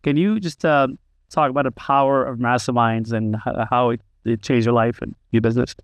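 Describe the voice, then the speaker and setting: A man's voice: mid-range voice, fairly even intonation, normal speed, clear, resonant tone, dry recording. A man hosting a podcast, asking questions with many hesitant fillers. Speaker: podcast host. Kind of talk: interview questions.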